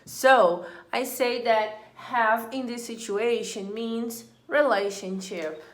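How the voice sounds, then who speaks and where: A woman's voice: on the high side, slow pace. A woman teaching an English grammar lesson, patiently repeating example sentences and explaining each one. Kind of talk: slow, deliberate classroom explanation. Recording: echoey room.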